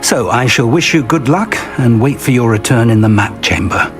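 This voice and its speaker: An actor playing an earnest middle-aged wizard professor and mentor in a video game, speaking in a deliberate, articulate, scholarly way. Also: male voice, medium pitch, lively intonation, close to an everyday voice. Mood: earnest, guiding. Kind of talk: dramatic video game voice acting. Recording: music playing behind the voice. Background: game music.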